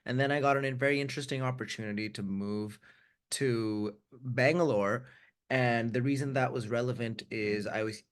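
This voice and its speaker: A man's voice: medium pitch, fairly even intonation, normal speed, clear, resonant tone. A man in his thirties, from India with an American accent, speaking as a webinar guest over a video call, telling his story candidly and reflectively with frequent restarts. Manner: frank, reflective, frequent restarts and repeated words.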